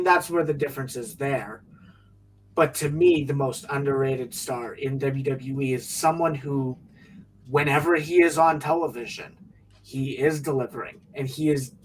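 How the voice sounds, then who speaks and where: A man's voice: mid-range voice, recorded from a distance, low-quality recording. A man co-hosting a podcast who argues his point and often trips over his own words. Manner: argumentative, stumbles over words. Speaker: podcast co-host. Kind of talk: casual podcast chat.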